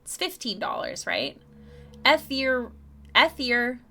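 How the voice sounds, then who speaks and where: Bright-voiced young woman chatting while drawing. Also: high voice, very animated, slow pace. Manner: chatty, stumbles and repeats words, laughs often. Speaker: streaming artist. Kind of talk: casual live-stream chat while drawing.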